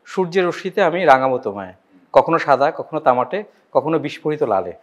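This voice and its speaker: A man's voice: a little high, animated, brisk, highs cut off. A man with a Bangladeshi accent interviewing someone in casual, familiar chat, feeling his way through questions with restarts.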